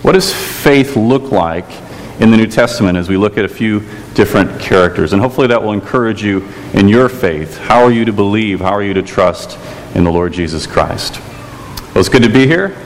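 A man from Chicago with a Chicago accent, preaching a sermon as a guest preacher, telling stories gently and earnestly with some "uh"s. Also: fairly low pitch, normal speed, in a large hall, slight background noise, rough recording. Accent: American, Chicago. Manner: storytelling, gentle and earnest, with filler "uh"s. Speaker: guest preacher, theology lecturer. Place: church.

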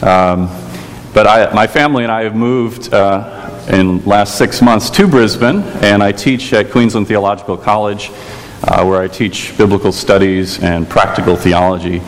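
A man from Chicago with a Chicago accent, preaching a sermon as a guest preacher, telling stories gently and earnestly with some "uh"s. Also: fairly low pitch, fairly even intonation, normal speed, in a large hall, a little hiss or hum. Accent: American, Chicago. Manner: storytelling, gentle and earnest, with filler "uh"s. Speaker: guest preacher, theology lecturer. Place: church.

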